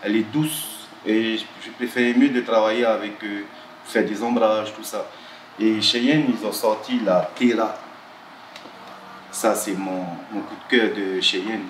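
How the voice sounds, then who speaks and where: A tattoo artist from Tahiti, talking about his work in a halting way, with many restarts and fillers. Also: on the low side, fairly even intonation, roomy sound, slight background noise. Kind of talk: talking-head interview.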